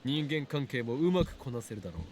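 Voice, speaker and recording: An actor playing a grandiose, theatrical young self-styled mad scientist in a Japanese visual novel, with a pompous, dramatic delivery. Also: male voice, mid-range voice, big pitch swings, rapid-fire, a little mellow, a little hiss or hum, lightly acted. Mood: matter-of-fact.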